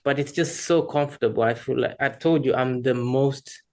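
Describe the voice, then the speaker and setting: Male podcast guest from Rwanda, creaky medium-pitched voice, casual, candid, self-deprecating. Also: normal speed, darkish tone. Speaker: podcast guest, freelance creative. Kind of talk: casual personal chat.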